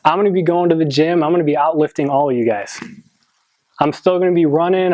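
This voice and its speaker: A man in his twenties giving a motivational talk to a high school football team, earnest, with many ums and restarts. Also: a little mellow, no room sound. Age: 20s. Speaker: motivational speaker.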